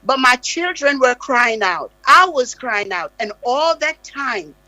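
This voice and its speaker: A woman's voice: high voice, lively intonation, slow pace, pushed and bright, no echo, low-quality recording. A Jamaican woman guest on a live radio interview, speaking with passionate, insistent emphasis. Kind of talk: heated interview talk. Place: radio studio.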